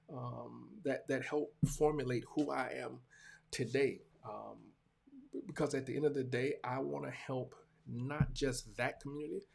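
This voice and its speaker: A Southern American man in his forties with a husky, creaky voice at a middling pitch. He speaks to his audience in an earnest, reflective way, halting and circling back to repeat phrases, like a preacher talking casually. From small-town Georgia, US. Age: 40s. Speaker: preacher hosting a talk show. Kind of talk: casual reflective monologue to viewers.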